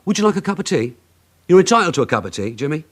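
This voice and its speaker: An actor playing a shrewd, needling British detective sergeant in a radio drama, sardonic and mock-courteous. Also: male voice, on the high side, sing-song, rapid-fire.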